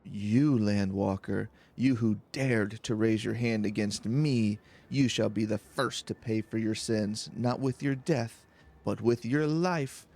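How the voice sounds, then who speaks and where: A man's voice: on the low side, slow, a little mellow. A man voicing a vengeful, grandiose wind goddess in a fantasy game, declaiming theatrical threats. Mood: vindictive. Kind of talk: villain monologue read aloud from a fantasy game.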